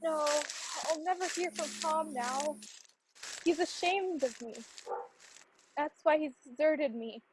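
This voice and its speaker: A woman's voice: high-pitched, takes it slowly, pauses often, slight background noise, low-quality recording. A woman playing a forlorn, proud young servant girl in a radio play, plaintive and earnest.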